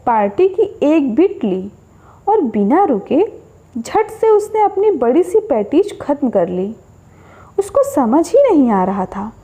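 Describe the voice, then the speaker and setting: A woman narrating a scripted horror story as a storyteller, with an expressive delivery. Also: high voice.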